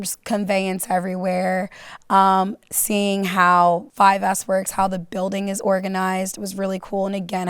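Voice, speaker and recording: A woman from Florida talks as a guest on a conference panel, middle-pitched and dry. She tells her story at length and fills her pauses with ums. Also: smooth, no room sound. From Florida, United States. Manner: talkative, storytelling with fillers. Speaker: panel guest, operations manager. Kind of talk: conversational stage panel. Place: conference stage.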